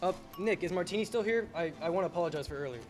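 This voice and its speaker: A young performer playing a troubled, wry, skeptical family man in a radio play, halting and incredulous. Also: mid-range voice, faint music behind, lightly acted. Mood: bewildered. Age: teens to young adult. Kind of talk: stage radio play acting.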